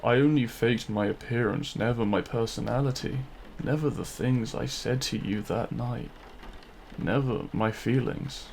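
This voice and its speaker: A man's voice: medium pitch, a little slow, vocal fry, darkish tone, a little hiss or hum. A man playing a smug, possessive demon king in an audio roleplay, speaking to the listener in a silky, theatrical, courtly yet menacing manner. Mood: pleading, ardent.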